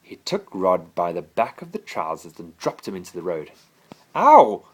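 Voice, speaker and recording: A man's voice: deep voice, very animated, moderate pace. A man reading a children's bedtime story expressively, as a father to his child.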